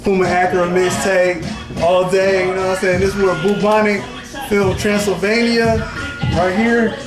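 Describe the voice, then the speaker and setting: High-voiced young man over loud music. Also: flat delivery, moderate pace, reverberant. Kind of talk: casual hype talk to camera. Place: small clothing shop, indoors.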